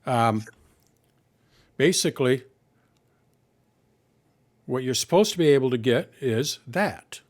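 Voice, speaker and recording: Man, michigan accent, mid pitch, casual tech q&a host, easygoing, lots of ums. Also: slow, pauses often. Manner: relaxed, explains with everyday examples, frequent "um". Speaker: host answering tech questions. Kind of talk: live Q&A chat.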